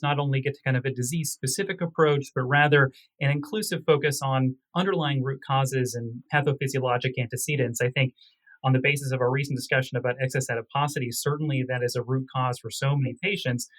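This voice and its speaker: A man speaking as a physician researcher in a medical interview, with formal, technical and measured phrasing. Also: mid-range voice, low-quality recording.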